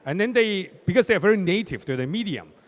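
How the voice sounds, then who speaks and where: Guy on a panel, kinda high voice, bounces all over, keeps saying right?. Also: fast talker. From Hong Kong. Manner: animated, tags statements with "right?". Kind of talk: panel discussion. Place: conference stage.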